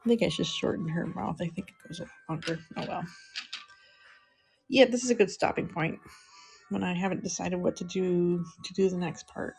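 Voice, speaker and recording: A middle-aged woman chatting softly and unhurriedly with viewers while she draws on a livestream. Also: fairly low pitch, fairly even intonation, normal speed, creaky, faint music behind, rough recording. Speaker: live-streaming artist. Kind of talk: casual livestream chat while drawing.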